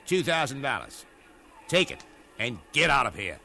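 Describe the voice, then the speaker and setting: An actor playing a shifty, bullying carnival barker in 1950s radio drama, with sing-song hawking patter. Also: male voice, medium pitch, big pitch swings, normal speed, gravelly, bright, forceful tone, a little hiss or hum, exaggerated voice. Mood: cowed. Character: shifty bullying male carnival barker, wheedling then cowed.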